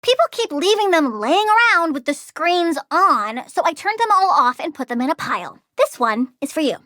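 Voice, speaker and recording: Super high cutesy little girl plant villain, swoops all over, sweet but creepy, video game style. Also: very animated, moderate pace, pushed and bright, no echo, studio quality, heavily acted. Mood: dry, playful. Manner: cutesy, childlike, matter-of-fact about menace. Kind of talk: video game character voice lines.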